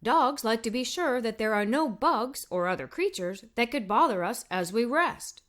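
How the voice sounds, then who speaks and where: A friendly female talking dog from a children's storybook, as a woman narrator plays her. She is calm and knowing, and explains her world in a gentle, matter-of-fact way. The voice is bright. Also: a little high, normal speed, voice pushed down.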